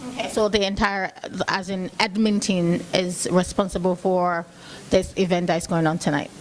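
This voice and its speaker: A woman hosting a community TV show, casually interviewing guests at a forum with halting, filler-laden questions. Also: lowish voice, flat delivery, low-quality recording. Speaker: TV host interviewing at an event. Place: at a forum in a hotel venue.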